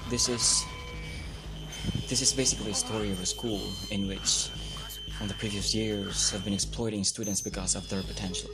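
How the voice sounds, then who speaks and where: A resentful young student in a radio drama, played by a man, earnest and low-voiced. Music plays clearly beneath his account. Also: lightly acted, rough recording. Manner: earnest, rising to anger. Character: angry young male student from the lowest class.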